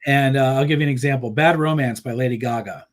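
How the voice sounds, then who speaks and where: A man talking casually as a musician guest, rambling through stories with plenty of fillers and restarts. He laughs easily and tells his tales in an animated way, his voice sitting in a middle pitch. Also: quick pace. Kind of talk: casual interview chat.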